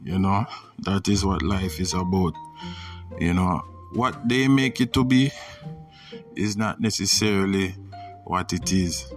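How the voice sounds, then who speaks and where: A man with a Jamaican accent hosting a podcast, giving a casual, reflective motivational monologue with frequent pauses and "you know". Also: low-pitched. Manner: reflective, pausing often, repeats "you know". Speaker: podcast host. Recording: distant mic with music behind.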